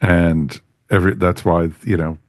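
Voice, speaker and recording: A man's voice: deep voice, level tone, unhurried, dry recording, clean studio sound. A man hosting a podcast, talking casually as he leads a review discussion. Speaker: podcast host.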